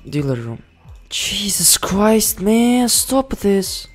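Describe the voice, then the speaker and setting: A man giving casual commentary over a management game, excitable, swearing and yelling orders at his units. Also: high voice, slow, faint music behind. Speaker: gaming channel host.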